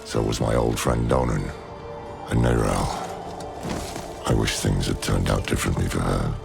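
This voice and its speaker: A weary, ominous old scholar narrates a dark fantasy game in an extremely low voice. It is thick with gravel, grave and measured, over loud music. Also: male voice, steady tone, heavily acted. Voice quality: very deep, gravelly. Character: weary old male scholar recounting dark past events. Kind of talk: dramatic scripted narration.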